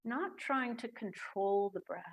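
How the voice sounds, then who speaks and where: Slow, soft-spoken woman guiding meditation. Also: on the high side. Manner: slow, soft, calm, long pauses. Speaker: meditation guide. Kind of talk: guided meditation.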